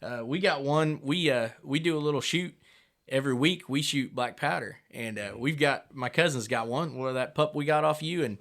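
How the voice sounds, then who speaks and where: A man talks casually on a hunting podcast. His pitch is medium. His manner is agreeable and down-home. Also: normal speed, a bit bright. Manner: folksy, agreeable. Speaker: coon hunter in a podcast conversation. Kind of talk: casual chat.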